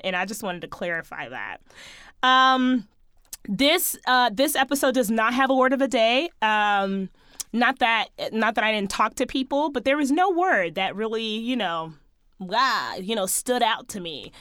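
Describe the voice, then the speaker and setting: A woman hosting her own podcast in a sassy, chatty way, talking straight to her listeners, her voice a little hoarse. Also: a little high, fairly bright tone, no room sound, studio quality. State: slightly hoarse, losing her voice. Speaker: podcast host. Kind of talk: casual solo podcast chat.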